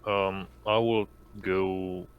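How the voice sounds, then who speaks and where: A man's voice: low-pitched, flat delivery, extremely slow, smooth, narrow, tinny audio. A man playing a tabletop roleplaying game, casually saying what his character does.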